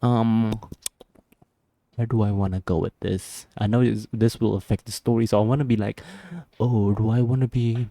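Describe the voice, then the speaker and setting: A man's voice: on the low side, steady tone, normal speed, warm tone, dry recording, studio quality. A man giving casual gameplay reaction commentary, gushing and easily awed. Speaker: gameplay video host. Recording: game music behind the voice. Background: game music.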